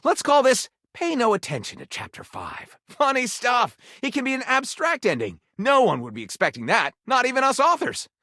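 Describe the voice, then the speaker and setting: Theatrical, animated male storyteller. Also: very high voice, normal speed, hardly any pauses, dry recording, studio quality, heavily acted. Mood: delighted, excitable. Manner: theatrical, flamboyant, dramatically announcing titles. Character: theatrical, enthusiastic male book author who pitches stories. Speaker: video game voice actor. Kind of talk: scripted dating-sim game character dialogue.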